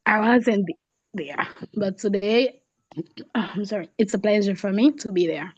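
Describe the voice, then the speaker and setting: A woman's voice: mid-range voice, measured pace, a little mellow, slight background noise. A woman from Haiti with a Haitian accent and Creole as first language, speaking learner's English in a hesitant way. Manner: hesitant, clears throat often. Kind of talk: impromptu talk in English practice. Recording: muffled, dry online call audio.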